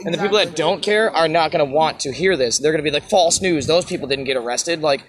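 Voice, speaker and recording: A man of twenty-two, talking casually and slangily among fellow protesters. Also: a little high. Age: 20s. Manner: fast, slangy, heavy use of "like" and "dude". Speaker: protest group member. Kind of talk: casual group chat.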